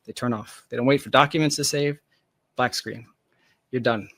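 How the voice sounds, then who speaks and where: A man's voice: medium pitch, fairly even intonation, normal speed, fairly bright tone, no echo. A man speaking as an interview guest, explaining his work casually and candidly with emphatic, self-deprecating asides. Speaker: interview guest, software founder.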